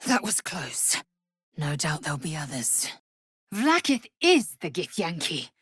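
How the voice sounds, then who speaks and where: A woman's voice: deep voice, sing-song, measured pace, slightly rough, no room sound, studio quality, heavily acted. An actress playing a fierce, proud young alien warrior woman in a fantasy video game, speaking in an imperious, clipped, scornful way with formal phrasing. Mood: brisk, commanding.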